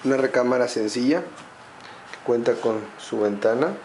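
Man giving a slow home walkthrough. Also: mid-range voice, darkish tone, a little hiss or hum. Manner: slow, listing features with set phrases. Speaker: home sales guide. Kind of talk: walkthrough narration. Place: empty indoor home.

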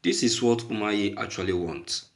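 A man's voice: on the low side, normal speed, creaky. A man with a Nigerian accent giving opinionated news commentary as a host, emphatic and repeating phrases for stress.

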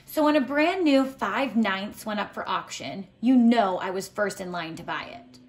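A woman reading a picture book aloud as a teacher, expressive and deliberate, pausing to set tasks. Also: medium pitch. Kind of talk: expressive children's read-aloud with lesson prompts. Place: indoors at home, tiled floor.

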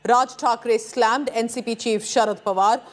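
A woman's voice: a little high, fairly even intonation, moderate pace. A woman in her thirties with an Indian accent, presenting television news from a studio. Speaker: news anchor.

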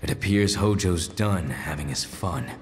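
Deep, solemn, wise beast companion. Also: male voice, animated, a little hiss or hum. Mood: calm, grave. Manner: measured, formal, grave. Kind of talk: dramatic video game cutscene acting. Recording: reverberant hall, music behind.

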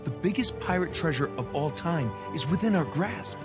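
A man's voice: on the high side, expressive, moderate pace, music in the background, natural voice. A man in his thirties acting an eager, cocky younger treasure hunter in a cinematic video game, with casual, persuasive delivery. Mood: urgent, defensive. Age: 30s.

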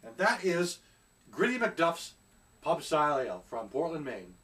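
A middle-aged man reviewing a beer at home in a casual, chatty way, often pausing on fillers as he searches for words. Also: fairly high pitch, very animated, a bit bright, far from the mic. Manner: chatty, hesitant with many fillers. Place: small room at home, seated indoors.

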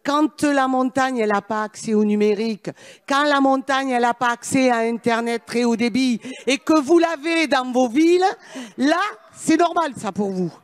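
A woman's voice: high-pitched, moderate pace. Middle-aged woman speaking in parliament. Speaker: member of parliament. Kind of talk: formal parliamentary speech, partly read from notes. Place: large parliamentary chamber. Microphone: gooseneck microphone held close.

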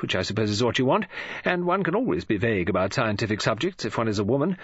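A woman's voice: extremely low pitch, slightly rough, no echo, lo-fi sound, pitched above the performer's own voice, heavily acted. A man voicing a chatty, flustered middle-aged English woman novelist in an audiobook, with a gushing, breezy manner. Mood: confiding.

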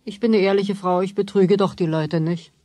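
An older actress playing an honest, fussing grandmother in a radio play, with a husky voice. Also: medium pitch, fairly even intonation, normal speed, mellow, dry recording, lightly acted. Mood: indignant.

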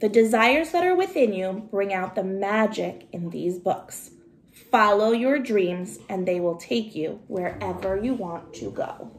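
A woman's voice: mid-range voice, lively intonation, measured pace. A woman voicing an encouraging male magician in a children's storybook, with a guiding manner. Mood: reassuring. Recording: roomy home recording with noticeable hiss.

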